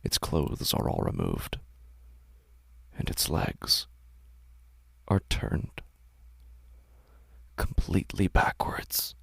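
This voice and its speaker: Deep, gravelly male horror narrator. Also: very animated, no room sound, studio quality. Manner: hushed, slow, often whispered. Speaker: narrator and host.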